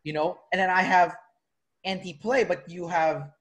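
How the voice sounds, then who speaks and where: A man's voice: high-pitched, steady tone, normal speed, fairly bright tone. A man from the Philippines hosting a casual interview, talking in loose, rambling sentences full of fillers and restarts. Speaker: interviewer, personality trainer. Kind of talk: casual video-call interview.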